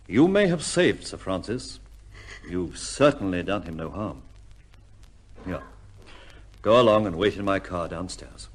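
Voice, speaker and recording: An actor playing a shrewd, fatherly upper-class gentleman investigator in an old-time radio drama, with a commanding, wry, questioning manner. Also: low voice, husky, heavily acted. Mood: reassuring.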